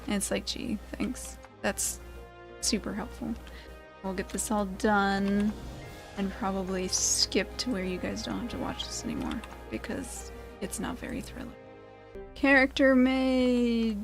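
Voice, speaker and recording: A woman's voice: mid-range voice, steady tone, unhurried, gravelly, over music. A young woman hosting a gaming channel, chatting casually over gameplay with dry, sarcastic asides.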